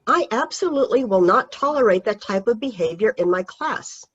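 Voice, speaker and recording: A woman's voice: a little high, lively intonation, normal speed, slightly rough, dull audio. Woman playing a stern schoolteacher. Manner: stern, commanding. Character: strict middle-aged female high school teacher.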